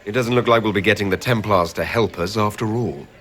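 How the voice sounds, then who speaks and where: An actor playing a pragmatic, unruffled adventuring companion in a video game. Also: male voice, lowish voice, brisk, fairly bright tone, slight background noise, close to an everyday voice. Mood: dry, matter-of-fact.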